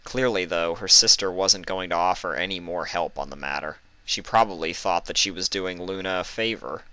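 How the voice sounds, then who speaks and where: A man's voice: deep voice, normal speed, pushed and bright, dry recording. A man reading a scripted story aloud as a fan fiction narrator, close to the microphone.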